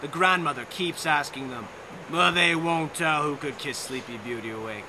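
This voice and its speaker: A young man telling a bedtime story as a live theatrical performance, dramatic and playful, drawing out and repeating phrases. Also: on the high side. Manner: dramatic, drawn-out, playful repetition. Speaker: narrator. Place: outdoors on a lawn. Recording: noisy outdoor amateur live recording.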